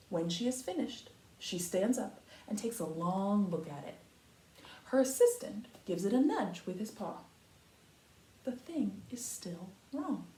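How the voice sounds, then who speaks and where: Lady teacher doing a kids story read aloud, really animated and emphatic, echoey room w a bit of noise. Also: medium pitch. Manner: expressive, emphatic, deliberate phrasing for young children. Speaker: kindergarten teacher reading aloud. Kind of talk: children's picture book read-aloud with think-aloud commentary.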